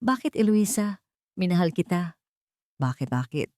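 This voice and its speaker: A woman's voice: on the low side, lively intonation, moderate pace, mellow, no echo, close to an everyday voice. A woman acts out a betrayed Filipino businessman in an audio love story. He is desperate, venomous and accusing, with a Filipino accent and a dry, close sound. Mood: anguished, betrayed.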